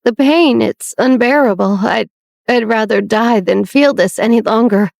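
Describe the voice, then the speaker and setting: A woman voicing a young woman in severe pain, weak and raspy, pleading in halting, broken phrases. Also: fairly high pitch, darkish tone, dry recording, studio quality. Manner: halting, breaking off and repeating words.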